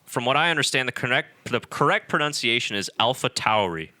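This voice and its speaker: A man with a bright, forceful voice co-hosts a casual podcast and rants in an animated, exasperated way. The sound is dry and close, with no room echo. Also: medium pitch, a little fast. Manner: animated, ranting, exasperated storytelling. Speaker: podcast co-host. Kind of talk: casual chat.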